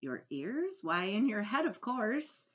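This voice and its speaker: Small storybook boy, played by a woman: raised pitch, lively, polite, excitable, curious, muffled recording. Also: slow, breathy edge, full character voice. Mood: baffled, insistent. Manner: earnest, excitable. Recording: dull lo-fi recording.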